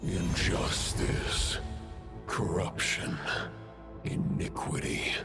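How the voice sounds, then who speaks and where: An actor playing an ancient wolf spirit in a video game, grave and contemptuous, with a gravelly, slow and solemn delivery. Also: male voice. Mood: grave contempt. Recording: music under the voice.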